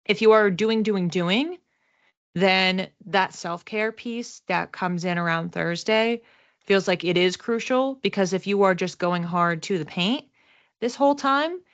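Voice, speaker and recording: A woman's voice: medium pitch, unhurried, highs cut off. A woman talking conversationally and reflectively as a psychic reader, explaining things casually to her listeners and stressing key words. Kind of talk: casual explanatory card reading to camera.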